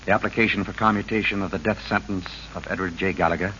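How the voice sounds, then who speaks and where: An American man acting a principled, troubled governor in an old radio drama, formal and measured in his delivery. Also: sits low, steady tone, pushed and bright. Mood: solemn. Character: principled, troubled state governor, rigid about duty. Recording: muffled, noisy vintage radio recording.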